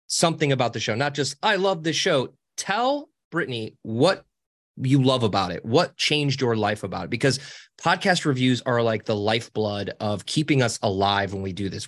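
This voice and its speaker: A podcast guest, a man with a high voice and a cackling laugh. He talks casually and cheekily, his pitch swooping up and down as he rambles and restarts his sentences. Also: fairly bright tone. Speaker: podcast guest, social media co-founder. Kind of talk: casual interview talk.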